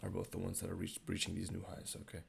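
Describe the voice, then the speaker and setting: A young man with a raspy voice, thinking aloud in low-key, casual commentary while streaming his day trading live. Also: monotone, quick pace, slight background noise. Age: young adult.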